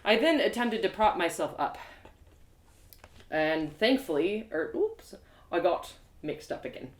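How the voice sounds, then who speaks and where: A woman giving a theatrical, expressive dramatic reading of a story as its narrator. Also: lowish voice, measured pace. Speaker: author performing her audio drama. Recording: roomy, echoey sound.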